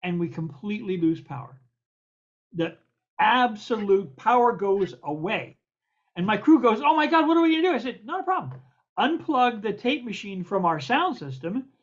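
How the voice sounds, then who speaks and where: An older man, a producer and co-host, telling an emphatic, animated story over a video call. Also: sits high, normal speed. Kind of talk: casual storytelling. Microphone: video call webcam. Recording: dull lo-fi video call audio.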